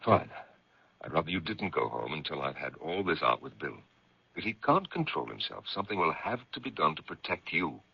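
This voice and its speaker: An actor playing a protective, indignant gentleman editor in a radio mystery, with a gravelly, husky, emphatic delivery. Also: extremely low pitch, very animated, fairly quick, full character voice. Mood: firm, protective. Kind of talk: radio drama acting, dramatic dialogue and first-person narration. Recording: dull, lo-fi vintage radio recording.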